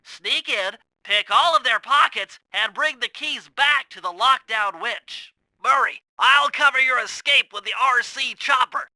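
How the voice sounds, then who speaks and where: Video game role: brainy young male turtle gadget expert. Extremely sharp, bright tone, fussy technical delivery, very dry close recording. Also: very high-pitched, measured pace, dry recording, exaggerated voice, low-quality recording. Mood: earnest instructing. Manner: precise, wordy, technical instructing. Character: nerdy young male cartoon turtle, brainy, earnest gadget-minded strategist. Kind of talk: video game character voice acting.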